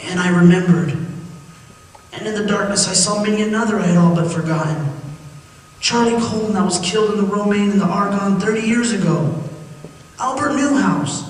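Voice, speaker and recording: A man's voice: high-pitched, moderate pace, far from the mic, slight background noise, lightly acted. An actor playing an innocent condemned man, recounting his night among the dead in theatrical, fervent old-time radio style. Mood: hushed, wistful. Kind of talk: old-time radio drama acting, first-person narration. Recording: cavernous reverberant radio recording, music behind.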